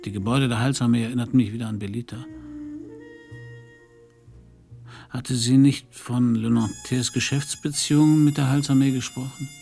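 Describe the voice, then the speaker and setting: Man acting a gravelly, world-weary private detective. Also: medium pitch, fairly even intonation, fast, darkish tone, faint music behind, close to an everyday voice. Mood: gloomy. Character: world-weary, brooding male private detective, hard-drinking and sardonic. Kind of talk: noir radio drama, narration and dialogue.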